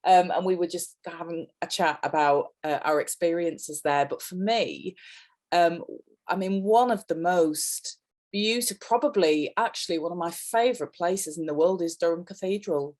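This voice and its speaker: A woman's voice: fairly low pitch, normal speed, a little mellow. A woman from the North East of England hosts the interview. She talks in an eager, encouraging way. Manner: enthusiastic, encouraging listener. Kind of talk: casual interview chat.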